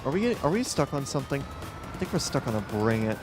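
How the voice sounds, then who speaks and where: A man with a loud voice giving rambling, casual commentary while streaming a game live, often restarting his sentences and talking to the game. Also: medium pitch, sing-song, a little fast, music in the background. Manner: rambling, self-correcting, talks to the game.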